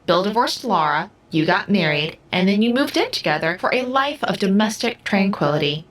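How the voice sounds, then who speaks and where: A woman's voice: mid-range voice, animated, moderate pace, slight background noise, lightly acted. Cool, teasing woman spirit guide acted. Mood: amused, detached. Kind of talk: audio drama acting.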